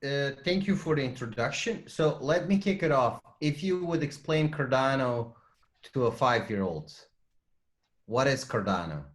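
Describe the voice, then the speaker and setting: A man with a mid-pitched voice hosts an online panel interview. He draws out his words and pauses on "uh" as he introduces the guests. His tone is conversational. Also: lively intonation.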